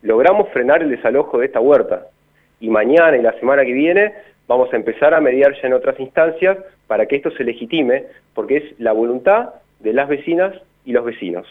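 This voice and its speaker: A man's voice: medium pitch, lively intonation, fairly quick. Buenos Aires man on a tinny phone line. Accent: Buenos Aires Argentine Spanish. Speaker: community garden activist interviewed by phone. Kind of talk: spontaneous radio interview answers. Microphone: phone call.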